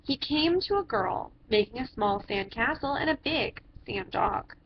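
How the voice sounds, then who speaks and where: High-voiced woman reading a children's story. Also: expressive. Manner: theatrical, drawn-out phrases with emphatic character voices. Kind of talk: animated picture-book read-aloud. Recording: dull lo-fi sound with background noise.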